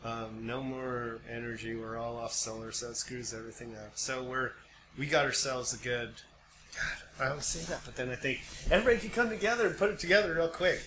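A man's voice: medium pitch, fairly quick. An American man co-hosting a podcast in casual, slangy, sweary conversation. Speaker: podcast co-host. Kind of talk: casual chat. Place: home studio. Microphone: podcast microphone. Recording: clearly noisy amateur podcast recording.

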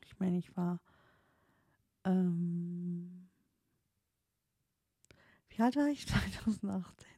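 A woman's voice: on the low side, drawn-out words, pauses often, dark tone, slightly rough, no room sound, clean studio sound. A woman in her late thirties with a Swiss accent, German not her first language, musing hesitantly about her past with many fillers and restarts. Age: 30s. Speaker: streamer. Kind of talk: reflective personal storytelling.

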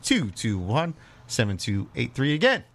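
A man's voice: on the low side, lively intonation, normal speed, creaky, slight background noise. A man hosting a sports radio show, talking casually. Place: radio studio.

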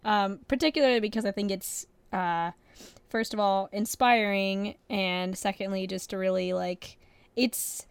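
A woman's voice: mid-range voice, steady tone, a little slow, vocal fry, clear, resonant tone, no room sound. A woman interviewing on a casual podcast in an informal, chatty, encouraging way.

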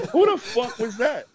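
A man co-hosting a casual gaming chat, loud and profane, cracking jokes and laughing often. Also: extremely high pitch. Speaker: podcast co-host.

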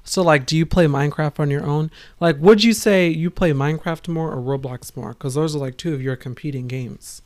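A woman with a low-pitched voice chats casually as a podcast host. Her voice is raspy and worn out. She rambles and pauses often as she talks. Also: a little mellow. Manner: rambling, halting, with frequent pauses. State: tired voice.

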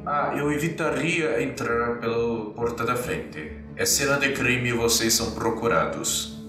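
A man voicing a suave, calculating vampire with a measured, courteous, condescending way of talking in a tabletop game. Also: medium pitch, lightly acted. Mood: cool courtesy. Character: suave, calculating male vampire who hypnotizes victims. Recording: echoey, distant, music behind.

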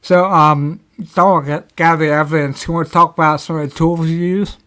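A man's voice: a little high, steady tone, normal speed, a bit bright. A man in his fifties, hosting a podcast interview in an easygoing way and laughing often. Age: 50s. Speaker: podcast host. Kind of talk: casual interview.

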